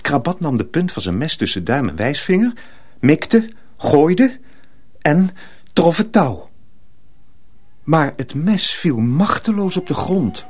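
A man narrating a radio drama in a measured, literary storytelling manner. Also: fairly high pitch, sing-song, slightly rough, exaggerated voice.

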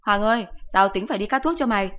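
A woman's voice: medium pitch, quick pace, muffled recording, natural voice. A woman voicing a blunt, sarcastic middle-aged mother who nags her son. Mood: scolding. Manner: sharp, sarcastic nagging.

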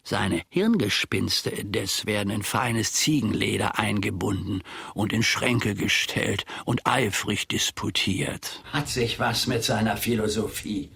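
A self-important old manservant for radio drama: low, croaky, grumbling and rambling to himself. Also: male voice, takes it slowly, fairly bright tone, full character voice. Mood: bitter, ironic. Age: older. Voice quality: gravelly, husky. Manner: pompous, rambling, muttering to himself. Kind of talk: radio play monologue.

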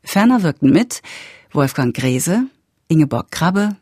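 A woman's voice: low voice, sing-song, measured pace, dark tone, slightly rough, no room sound, studio quality. A woman announcer formally reading scripted radio play credits. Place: dry studio. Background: music.

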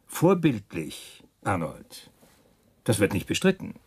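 An actor playing a middle-aged Nazi officer in a German radio drama, a smug, pushy schemer who talks in a chummy, insinuating, emphatic way. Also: male voice, on the low side, huge pitch swings, slow, a little mellow, slightly rough, exaggerated voice. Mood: persuasive, smug. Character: slick, scheming, ambitious Nazi officer, smugly pushy with comrades.

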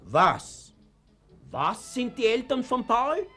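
A man's voice: very high-pitched, very animated, takes it slowly, pushed and bright, breathy edge, soft background music, full character voice. An actor with an Austrian accent playing an anxious, guilt-ridden middle-aged father and reluctant party member in a radio drama, speaking in a hesitant, colloquial way. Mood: shocked, remorseful. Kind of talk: naturalistic radio drama acting.

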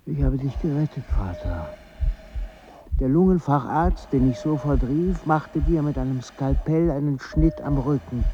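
A man's voice: mid-range voice, lively intonation, unhurried, background noise, close to an everyday voice. An actor playing a foreign traveller, a son who grieves for his father, intense and reflective, in a radio drama. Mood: agitated, bitter. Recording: slightly dull sound.

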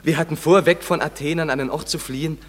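An actor playing a young lover who is dazed and half awake, speaking in a hesitant, earnest way in a classic radio play. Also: male voice, on the high side, fairly even intonation, fast talker, long reverb tail, natural voice. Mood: bewildered.

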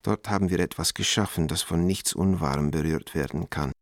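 Radio drama: affectionate, self-mocking dealer in his forties, deep, dry-recorded, reflective letter reading. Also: a little fast, no echo. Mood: tender. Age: 40s.

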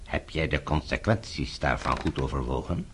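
An actor playing a haughty, scheming diplomat in a radio play, formal and suave with an indignant edge. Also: male voice, a bass voice, expressive, moderate pace, a bit bright, slight background noise. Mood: suave, needling.

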